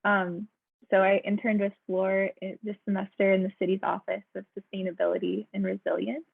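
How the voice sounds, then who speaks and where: A woman's voice: medium pitch, level tone, fairly quick, creaky, breathy edge, no echo. A young American woman giving an informal self-introduction as a graduate intern on an online call. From Pittsburgh area, United States. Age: young adult. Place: online meeting. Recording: thin, close, phone-like call audio.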